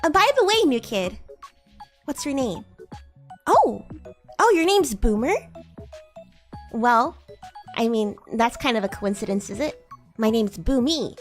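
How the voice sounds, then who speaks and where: Woman acting as a bratty demon girl, very high voice, swooping intonation, haughty, teasing, halting improvised livestream roleplay. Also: exaggerated voice. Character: bratty, haughty young female demon class president. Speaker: VTuber streamer playing a roleplay character.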